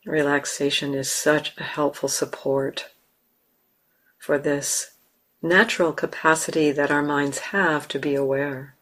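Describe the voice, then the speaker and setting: A woman with an American accent, a meditation teacher leading a guided meditation slowly and softly, with long pauses. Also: sits low. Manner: slow, soft, calm, with long pauses. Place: meditation hall.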